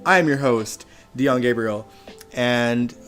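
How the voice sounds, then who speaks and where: A man hosting a relaxed live stream, talking in a chatty, animated way and laughing easily. His voice sounds a little hoarse, and faint music plays quietly behind him. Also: mid-range voice, slow pace. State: slightly hoarse. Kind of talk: casual live stream chat. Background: music playing faintly.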